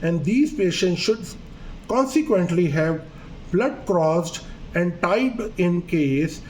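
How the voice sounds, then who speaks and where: A man's voice: high voice, lively intonation, slow, a little mellow, a little hiss or hum, poor audio. A man whose first language is Urdu, a doctor giving a medical video lesson in an earnest, hesitant way, with fillers and repeated phrases. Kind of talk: medical lecture.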